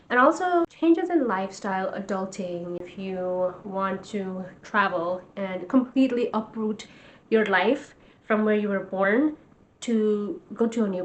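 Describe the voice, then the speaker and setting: An earnest, encouraging young woman speaks straight to the camera at a medium pitch, like a coach cheering on her viewers. Faint background hiss sits under her voice. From Nepal. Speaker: vlogger and life coach. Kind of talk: casual talk to camera.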